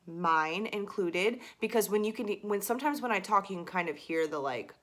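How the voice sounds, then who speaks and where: A chatty young woman in a medium pitch coaches viewers through vocal warm-ups. She talks loosely and fills pauses with "like" and "um." A faint graveliness sits in her lower notes. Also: lively intonation. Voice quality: slight gravel in her low register. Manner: chatty, filler-heavy, demonstrating exercises. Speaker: voice teacher. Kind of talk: casual video lesson.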